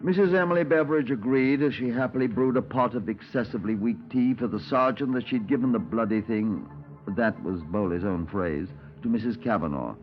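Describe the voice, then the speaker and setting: An actor playing a formal, dry Scotland Yard detective with a British accent, deliberate in speech, on an old 1950s radio drama recording. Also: male voice, medium pitch, highs cut off, soft background music, close to an everyday voice. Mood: dry, matter-of-fact. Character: measured, dry Scotland Yard detective narrating his case. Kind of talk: 1950s radio police drama acting and narration.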